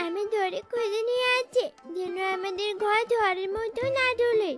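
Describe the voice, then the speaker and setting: A tiny cartoon girl bird, with an extremely high, childlike voice. She is sweet, eager and earnest. Music plays clearly behind her. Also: moderate pace. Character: small eager young girl bird, sweet and bright-minded. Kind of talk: cartoon character acting.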